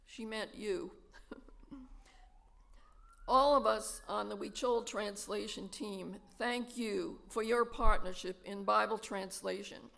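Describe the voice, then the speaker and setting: A woman presenting news of a translation mission to a church gathering, reading testimonies in a slow, earnest way. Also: medium pitch, vocal fry, fairly bright tone.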